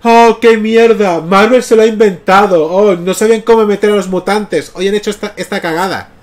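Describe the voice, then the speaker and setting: A man's voice: high voice, steady tone, a little fast, natural voice. A man mocking a whiny online complainer. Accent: Canarian Spanish.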